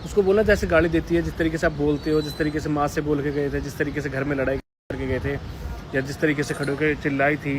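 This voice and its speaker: A man's voice: a little high, flat delivery, fast talker. Aggrieved young man, noisy recording. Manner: aggrieved, repetitive, insistent. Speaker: vlogger. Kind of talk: unscripted personal address to viewers.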